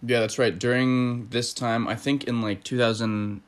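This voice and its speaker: A man hosting a casual online chat, talking in a relaxed, conversational way with fillers. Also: medium pitch, moderate pace, clear, resonant tone. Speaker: host.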